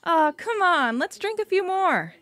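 A young woman's high voice in a dry recording. She acts out a sociable, insistent hostess chatting with her friend in an amateur audio drama. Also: very animated, a little slow, fairly bright tone, dry recording, full character voice. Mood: coaxing.

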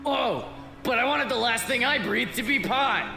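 A woman's voice: on the high side, expressive, moderate pace, gravelly. A woman playing a crude, lewd stoner cartoon character in an improvised game parody. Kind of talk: improvised comedic game fandub. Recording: echoey, loud music behind.

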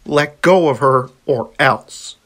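A gruff grown-up cartoon rabbit uncle, part machine played by a woman. The voice is pushed low and moves slowly, terse, self-conscious and reluctant. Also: no room sound, clean studio sound, heavily acted. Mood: stern. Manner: terse, reluctant, flat-toned. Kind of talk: amateur cartoon voice acting.

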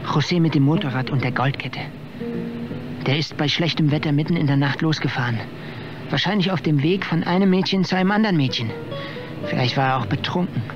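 A man playing a vengeful chauffeur in a radio drama, outwardly deferential but sly and quietly menacing. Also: on the high side, fairly quick, close to an everyday voice. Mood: sly, storytelling. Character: softly menacing vengeful male chauffeur, aspiring singer. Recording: muffled radio drama, loud music behind.

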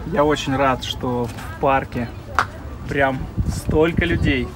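A man's voice: medium pitch, slow pace. A man speaking as an interviewer in a casual, upbeat vlog style. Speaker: interviewer filming the tour. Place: inside a small vehicle outdoors in a park.

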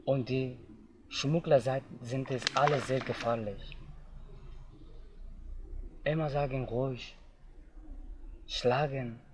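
A young man from Afghanistan, telling his own story outdoors in simple, halting learner's German. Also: medium pitch, fairly even intonation, slight background noise. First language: not German. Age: young adult. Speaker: interviewee. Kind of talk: personal testimony. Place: outdoors in tall grass.

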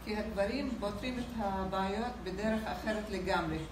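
A middle-aged woman speaks quickly, making an appeal on a panel. Her mid-pitched voice is picked up from a distance, with noise behind it. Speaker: peace activist, panel guest. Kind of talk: spoken appeal in a panel talk. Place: small indoor meeting room, seated. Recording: echoey room, distant mic, noisy.

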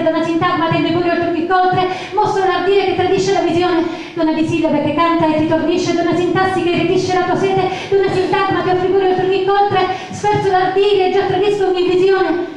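High-voiced woman reciting poetry in heavy echo. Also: a little mellow. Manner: incantatory, rhythmic, insistent repetition. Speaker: poet performer. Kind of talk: dramatic spoken-word poetry recital. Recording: very echoey, reverberant sound.

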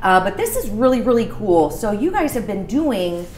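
A woman's voice: medium pitch, sing-song, moderate pace, darkish tone. A woman in her thirties hosting a casual promotional chat, talking in a chatty, enthusiastic way. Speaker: show host. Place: small bar room, indoors. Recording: roomy echo, some room noise.

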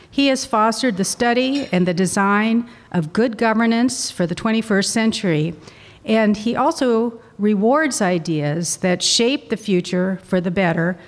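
A middle-aged woman hosting an event, giving a cheerful welcome speech at a podium in a large hall. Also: mid-range voice. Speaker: host introducing speakers. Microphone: podium mic.

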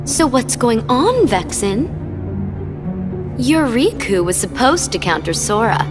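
A cold, sarcastic young villainess, high and drawn out. She taunts over eerie music, in the manner of a video game. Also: very animated, takes it slowly. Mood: mocking. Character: mocking, sadistic young female villain, cold. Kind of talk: video game cutscene acting. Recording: scary music under the voice.

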